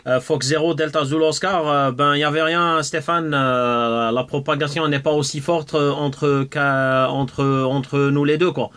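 A man making casual amateur radio contact as an operator, reading out call signs, with halting phrasing and repeats. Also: a little high, fairly even intonation, a bit bright, smooth. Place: indoors at a radio desk.

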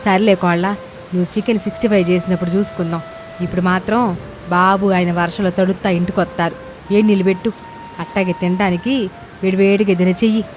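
A woman's voice: on the low side, fairly even intonation, brisk, lightly acted. Woman acting a bossy older mother-in-law over rain noise. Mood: teasing. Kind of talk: Telugu moral-story cartoon voice acting.

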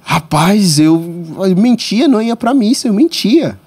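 A man in his thirties from Congo, whose first language is French, telling his life story as a podcast guest in a small studio, voice rising sharply when excited. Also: measured pace, slightly rough. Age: 30s. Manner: animated storyteller, pitch jumps when excited. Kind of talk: storytelling interview. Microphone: studio mic on boom arm.

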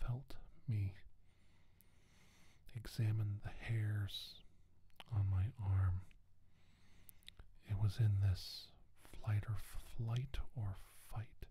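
A man playing a shaken doctor in a whispered ASMR roleplay, recounting an alien abduction in a hushed, halting way. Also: on the low side, fairly even intonation, a little hiss or hum. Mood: disturbed, dread.

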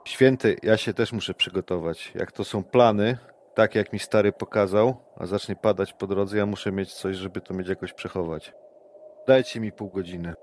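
Low-voiced man rambling as his character. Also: fairly even intonation, normal speed. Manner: rambling, conspiratorial, peppered with "wiesz" and "nie?". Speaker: tabletop game player. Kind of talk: in-character roleplay, casual and scheming. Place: home, online session. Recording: online session audio with faint noise.

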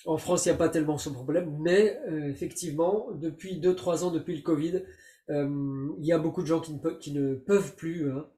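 A man's voice: a little high, brisk, dark tone. A middle-aged man interviewing on a video call, often repeating words as he starts. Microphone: webcam on video call. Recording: echoey room over video call.

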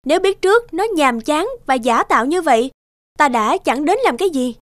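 A woman voicing a proud, misunderstood young dark fairy in a cartoon, speaking in a haughty, dramatic and emphatic way. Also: sits high, lively intonation, moderate pace, no echo, clean studio sound. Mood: defiant. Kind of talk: animated fairy tale dubbing. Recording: music behind the voice.

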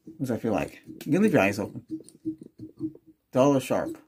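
A man's voice: medium pitch, big pitch swings, moderate pace, darkish tone, breathy edge. An older man doing an ASMR doctor roleplay, giving short instructions and asking brief questions as in an exam. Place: small indoor room with cloth backdrop.

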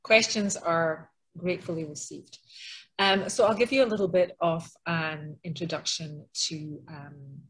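A woman's voice: low-pitched, measured pace, fairly bright tone, husky, poor audio. A middle-aged woman with an Edinburgh Scottish accent, giving a webinar presentation over a video call, talking conversationally with frequent ums and restarts. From Scotland. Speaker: interior designer presenting a webinar.

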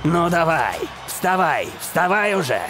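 A man's voice: a little high, very animated, a little slow, music in the background. An actor playing a cocky, hot-headed male football star in a cartoon, boastful and exclamatory. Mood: smug, impatient. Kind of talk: cartoon dubbing.

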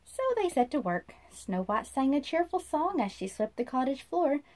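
A woman in her thirties reading a children's storybook aloud in an expressive, sing-song manner, as if to young listeners. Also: high voice, normal speed, slight background noise. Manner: sing-song, animated, asks listeners questions. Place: small indoor room.

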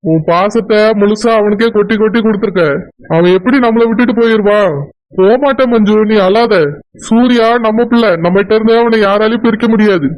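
Man as a very high-pitched, soothing father. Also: a little mellow, lightly acted, low-quality recording. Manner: soothing, earnest. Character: gentle, reassuring father and husband. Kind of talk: cartoon family melodrama.

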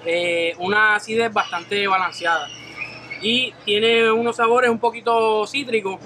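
A young man working as a barista, calmly explaining coffee in a small coffee shop. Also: sits high, unhurried, slight background noise. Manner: calm, explanatory, informative. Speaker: professional barista. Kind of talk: casual explanation.

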